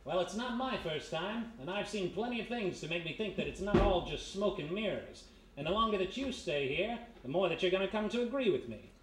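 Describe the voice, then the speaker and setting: A wisecracking American gumshoe with a shady past played by a man. His delivery is lively and wry, in a live radio play with echoey sound. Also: sits high, a little fast. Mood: wry, probing. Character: wry, smooth-talking American ex-thief private detective. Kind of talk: 1940s radio mystery acting, live on stage. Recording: echoey live stage, faint noise.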